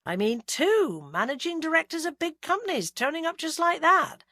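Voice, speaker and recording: Woman voicing a soft-spoken Gloucestershire detective. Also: extremely high pitch, moderate pace, dry recording, studio quality, pitched above the performer's own voice, heavily acted. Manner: soft, calm, gently teasing.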